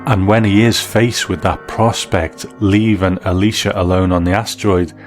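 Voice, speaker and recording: Man, deep voice, host and reviewer, scripted documentary narration, faint music bed. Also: steady tone, moderate pace.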